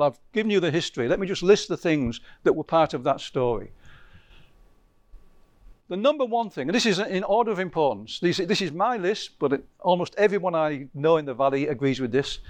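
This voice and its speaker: A man's voice: a little high, no echo. A man lecturing to a visiting group in a loose, conversational way, often correcting himself mid-sentence and stressing key points. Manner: rambling, self-correcting, lively emphasis.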